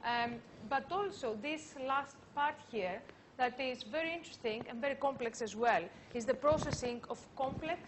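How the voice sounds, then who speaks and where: A woman lecturer giving an academic conference talk, speaking in a halting way with frequent filler sounds. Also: sits high, noisy background.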